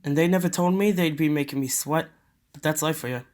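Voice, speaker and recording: A man's voice: fairly high pitch, a little fast, a little mellow, natural voice. A man playing a cocky, youthful immortal demon fighter in an amateur audio drama, with a wry, swaggering delivery. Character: cocky centuries-old male demon fighter who looks twenty-one.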